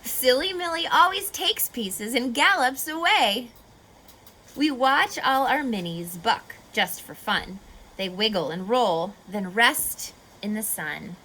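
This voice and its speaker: A woman reading a picture book aloud to children like a teacher, expressively, pausing to explain and ask questions. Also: a little high, slight background noise, low-quality recording. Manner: expressive, instructive, asking questions of young listeners.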